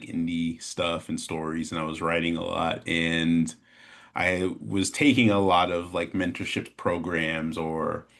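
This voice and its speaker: Interview guest: American man, deep and level voice, casual, halting storyteller. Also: a bit bright. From Chicago, American Midwest. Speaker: interview guest, animation studio founder. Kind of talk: casual chat, telling his story.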